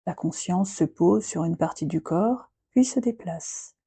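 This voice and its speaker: Female meditation guide: slow, soothing delivery with long pauses, soft breathy tone, close dry sound. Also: lowish voice, dry recording. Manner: gentle, unhurried, with long pauses between short phrases. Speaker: yoga nidra guide.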